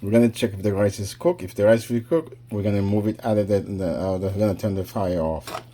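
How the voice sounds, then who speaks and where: A man's voice: lowish voice, brisk, dark tone, a little hiss or hum. A man casually talking viewers through a home cooking vlog, chatty and addressing them directly. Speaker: cooking vlogger.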